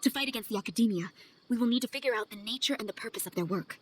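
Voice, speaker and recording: Brisk, composed woman traveler, voiced by an actress. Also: on the high side, lightly acted. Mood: probing. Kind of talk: dramatic video game dialogue. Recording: game audio over ambient noise.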